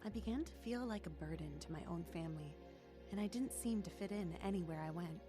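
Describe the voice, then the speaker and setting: A woman's voice: on the low side, quick pace, music in the background, close to an everyday voice. A woman playing a troubled, lonely and cynical teenage girl who tells her story plainly in an audio drama. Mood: downcast. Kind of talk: audio drama acting and first-person narration.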